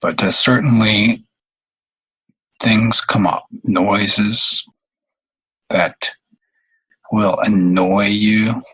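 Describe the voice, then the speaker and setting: A man with a fairly low, husky voice leads a guided meditation. He speaks very slowly and leaves long pauses, often halting mid-phrase. His tone has a bright, forward edge despite the unhurried delivery. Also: steady tone, poor audio.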